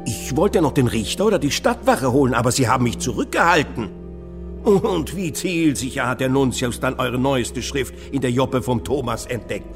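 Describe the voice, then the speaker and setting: An actor playing an earnest, anxious master printer in a historical German radio play, hesitant yet insistent. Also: male voice, medium pitch, animated, normal speed, over music, natural voice. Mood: uneasy.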